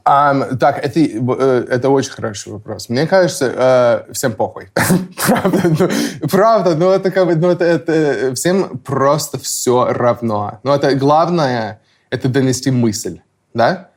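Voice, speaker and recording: A man's voice: medium pitch, measured pace. Blunt man swearing casually in chat. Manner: blunt, swears, hesitant fillers.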